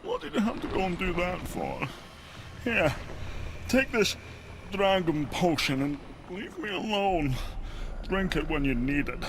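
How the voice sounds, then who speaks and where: An actor playing a boastful, easily wounded dragon in a video game, with a pompous, theatrical delivery. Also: male voice, sits high, lively intonation, unhurried, long pauses, background noise. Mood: whiny, grudging. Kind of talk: video game character acting. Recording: music behind the voice.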